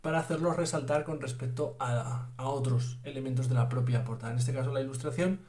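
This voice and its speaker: A man with a Spain Spanish accent hosting a tabletop game review, speaking in a measured, formal way with "eh" pauses. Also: medium pitch, steady tone, darkish tone. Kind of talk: product review talk to camera.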